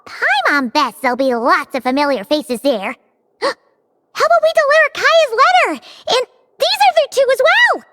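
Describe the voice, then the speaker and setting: A tiny fairy companion from a video game. The voice is extremely high and bubbly, swinging eagerly up and down, close and dry over faint music. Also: female voice, very animated, moderate pace, fairly bright tone, no room sound, exaggerated voice. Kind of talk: game voice acting.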